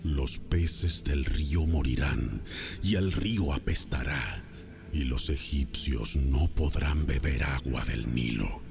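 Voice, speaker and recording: Audio Bible role: God, very low and unhurried, solemn authority over clear background music. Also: male voice, exaggerated voice. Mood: solemn command. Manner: slow, solemn, commanding. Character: God, solemn commanding male deity. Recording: music behind the voice.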